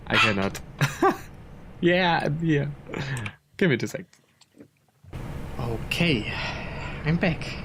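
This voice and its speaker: A young man whose first language is German rambles through casual gameplay commentary in English, chuckling often between thoughts. His voice sits at an ordinary middle pitch. A steady background hum of air conditioning runs under him in his room at home. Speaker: flight simulator streamer.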